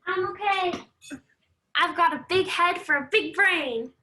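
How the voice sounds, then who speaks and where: A woman's voice: very high voice, unhurried, bright, forceful tone, full character voice. A woman playing a small, innocent child cub in a stage comedy, speaking with simple childlike curiosity. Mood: earnest.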